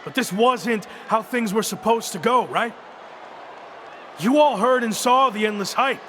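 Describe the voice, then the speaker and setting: A man's voice: very high-pitched, a little slow, sharp tone, a little hiss or hum, full character voice. A man playing an aggrieved, confrontational young wrestler, a former champion, in a video game cutscene. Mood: resentful, frank.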